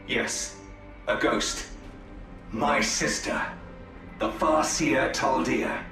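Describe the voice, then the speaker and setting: Silky Eldar schemer, slightly high voice, echoey distant sound, loud music behind. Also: male voice, drawn-out words. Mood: conspiratorial. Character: cunning, silky male Eldar conspirator.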